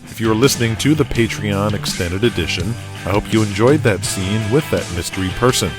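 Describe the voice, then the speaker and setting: A man's voice: fairly low pitch, fairly quick. A man narrating and hosting a scripted audio drama, with music behind him. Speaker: narrator and show host.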